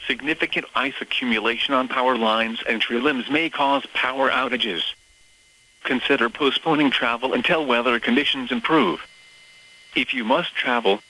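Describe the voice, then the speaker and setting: A synthetic male voice reading scripted weather bulletins as a radio announcer, in a formal, measured, list-like delivery. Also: medium pitch, bright, forceful tone, poor audio. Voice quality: synthetic text-to-speech voice.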